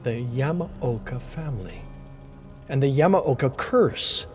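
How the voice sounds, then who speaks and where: A man's voice: mid-range voice, animated, takes it slowly. A man reading a scripted dramatic story as a narrator. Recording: voice over background music. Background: music.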